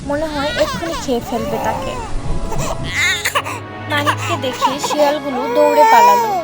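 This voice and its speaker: High-pitched woman storyteller over music. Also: sing-song, normal speed. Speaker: story narrator. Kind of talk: scripted cartoon storytelling narration.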